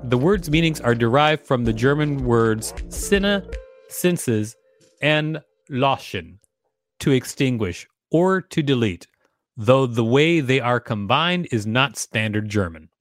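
A man with an average-pitched voice co-hosts a podcast, mixing article reading with casual remarks. Soft music sits underneath. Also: animated, takes it slowly, no echo. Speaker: podcast co-host.

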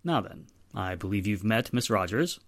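An actor playing a firm, courteous police inspector with a formal, authoritative manner in 1940s radio drama style. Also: male voice, lowish voice, expressive, normal speed, no echo, clean studio sound. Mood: stern, controlled.

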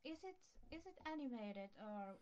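A woman in a casual podcast conversation, speaking haltingly in short phrases. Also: on the high side, animated, dull audio, over a noisy crowd. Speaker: podcast co-host or guest. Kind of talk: casual chat. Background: music.

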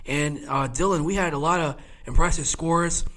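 A man's voice: a little high, moderate pace, bright, forceful tone. A man in his late twenties co-hosting a casual sports podcast, chatty and rambling, with plenty of fillers and frequent laughter. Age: 20s. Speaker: podcast co-host.

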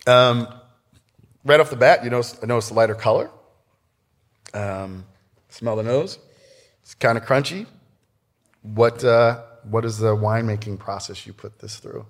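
A man's voice: medium pitch, unhurried, pauses often. A man hosting a casual interview podcast, informal and snarky in the way he talks.

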